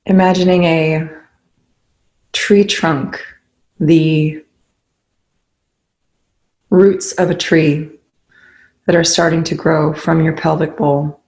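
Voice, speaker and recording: A meditation leader guiding listeners in a soothing, unhurried way, with pauses between short instructions. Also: medium pitch, gravelly, darkish tone, low-quality recording. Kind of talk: guided meditation.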